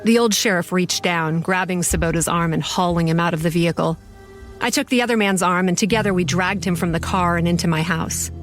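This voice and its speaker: Woman as a low-voiced, wry horror story narrator. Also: a little fast, soft background music, natural voice. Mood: wry, tense. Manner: confiding, wry. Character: sardonic, haunted young woman running a campground.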